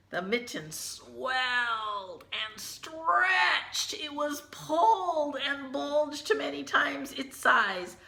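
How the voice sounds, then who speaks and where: Slow, bright-voiced woman reading a picture book. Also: high voice, vocal fry, roomy sound. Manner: gentle, drops to hushed asides about the pictures. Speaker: teacher reading aloud. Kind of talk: children's picture book read-aloud.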